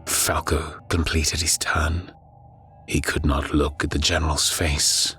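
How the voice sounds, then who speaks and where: A man narrating a scripted horror audiobook in a grave, measured storytelling manner. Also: extremely low pitch, level tone, sharp tone, a little hiss or hum.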